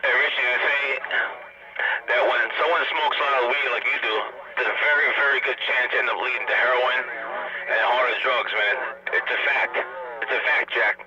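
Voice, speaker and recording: A man's voice: mid-range voice, fairly even intonation, normal speed. A man chatting casually as a CB radio operator over a radio link. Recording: distant, muffled, noisy CB radio transmission.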